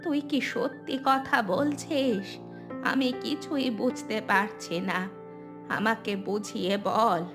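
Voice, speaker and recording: A woman's voice: on the high side, animated, slow. Woman voicing a worried cartoon village mother, music behind. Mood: anxious, pleading. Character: worried, fearful village mother of a grown son. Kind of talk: Bengali cartoon voice acting.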